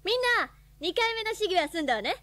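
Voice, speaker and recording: Gym teacher, brisk, instructing, very high voice, dry radio drama recording. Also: female voice, very animated, sharp tone, dry recording, heavily acted. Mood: brisk, encouraging. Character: brisk female schoolteacher running a gym class.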